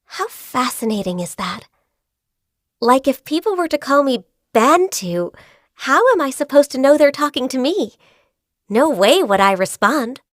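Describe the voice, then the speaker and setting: An actress voicing a gentle, shy and diligent young female secretary in a video game, soft-spoken, earnest and a little hesitant. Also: high voice, expressive, measured pace, no room sound, clean studio sound. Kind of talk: video game character voice lines. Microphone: close studio mic.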